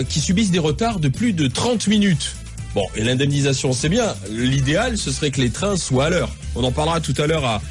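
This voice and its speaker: A man hosting a live radio talk show, announcing topics and guests in a brisk way. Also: fairly high pitch. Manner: brisk, announcing, with filler "euh".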